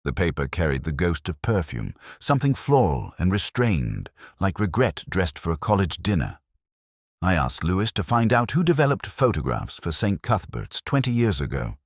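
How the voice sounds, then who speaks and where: A man reading a first-person detective story in a measured, reflective, literary manner. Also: low-pitched, big pitch swings, no room sound, clean studio sound. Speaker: narrator.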